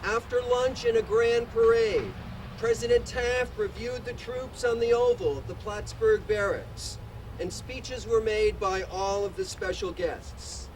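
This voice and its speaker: A man in his sixties, a museum director, giving a measured commemorative speech outdoors, reading dates and historical detail in a loud voice. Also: high voice. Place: outdoors at a podium in a park. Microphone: podium microphone, distant. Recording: distant podium mic, outdoor traffic hum.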